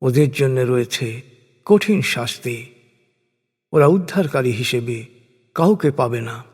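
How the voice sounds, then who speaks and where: A man reading a scripture translation as a solemn, formal scripted narration, with measured and deliberate phrasing. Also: mid-range voice, expressive, darkish tone, slightly rough. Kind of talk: solemn scripted religious recitation in prose. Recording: long hall-like reverb.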